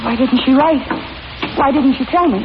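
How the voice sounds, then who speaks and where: A woman's voice: high-pitched, normal speed, highs cut off, natural voice. An actress playing an anxious, insistent young woman in 1940s radio horror, urgent and melodramatic, on an old broadcast recording. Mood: anxious, pleading. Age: young adult. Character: anxious, insistent young woman seeking her missing sister. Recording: band-limited old radio recording, faint noise.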